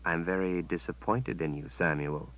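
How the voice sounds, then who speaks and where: A man voicing a smug, menacing witch woman who speaks in a cold, measured way. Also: low voice, a bit bright. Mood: stern. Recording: dull, dry sound.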